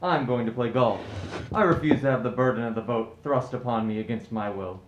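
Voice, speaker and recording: A man's voice: mid-range voice, brisk, dull audio, lightly acted. A breezy upper-class husband, acted by a man for a radio play. He is self-absorbed and blustering in an offhand way. The recording is echoey and roomy, with faint noise behind it. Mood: dismissive. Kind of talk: scripted radio play acting.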